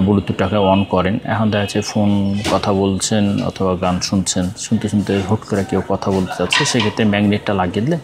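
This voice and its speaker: A man with a Bangladeshi accent giving an unscripted product demonstration as a shop seller, repeating himself and often checking in with the listener. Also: deep voice.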